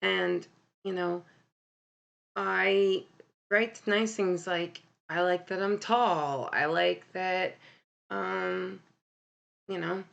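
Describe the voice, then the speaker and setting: A woman hosting a live stream speaks in a low, gravelly voice at an unhurried pace. She talks bluntly and candidly, stopping often on ums and restarting her sentences. The sound is dull and lo-fi, as from a laptop mic. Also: steady tone, fairly bright tone. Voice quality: deep voice. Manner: candid, blunt, self-correcting, with many ums. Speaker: livestream host. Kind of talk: casual live-stream talk about mental health.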